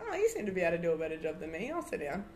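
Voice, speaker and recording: Teasing woman with a lively voice. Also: on the low side, racing, slight background noise. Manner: teasing, chimes in to finish stories. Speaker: podcast guest. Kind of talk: casual chat.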